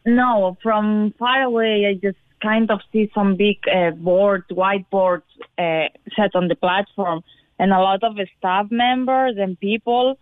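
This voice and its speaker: A woman's voice: mid-range voice, fairly even intonation, a little slow, no echo. Spanish woman on a tinny phone line. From Spain. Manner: hesitant, simple English with fillers and laughs. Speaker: caller. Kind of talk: phone interview. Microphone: telephone.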